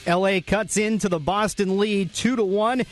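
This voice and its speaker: A man with an American accent and a high-pitched voice narrates a sports recap. He moves through the game's highlights as a radio host. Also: fairly even intonation, normal speed, soft background music.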